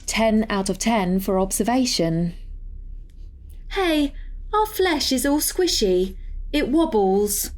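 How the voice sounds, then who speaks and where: A woman's voice: fairly high pitch, big pitch swings, slow pace, long pauses, a little mellow. A woman playing a cheeky, chatty artificial intelligence in a sci-fi audio drama, with a breezy, teasing manner. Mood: playful. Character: cheeky, chatty female artificial intelligence hacker sharing a body.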